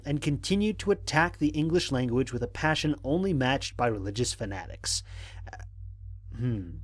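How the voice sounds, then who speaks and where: A stranded male space explorer played by a man records his mission log for an audio drama. His voice is a little husky and swings widely in pitch. The delivery is formal and analytical, with dry, exasperated humor. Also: close to an everyday voice. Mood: wry, weary. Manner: measured, formal, dryly humorous. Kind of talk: scripted first-person mission log narration.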